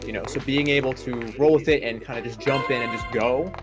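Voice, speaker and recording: A man's voice: medium pitch, brisk. A man chatting casually as a voice actor guest in an interview, often checking in with tag questions. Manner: conversational, peppers talk with tag questions. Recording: loud music behind the voice. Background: loud music.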